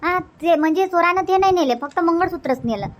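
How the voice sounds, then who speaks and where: High-pitched gossipy village aunt acted by a woman. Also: a little fast. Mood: gossipy, suspicious. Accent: Vidarbha Marathi. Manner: gossipy, emphatic, rhetorical questions. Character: gossipy village aunt, suspicious, chatty older relative. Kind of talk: cartoon comedy dubbing.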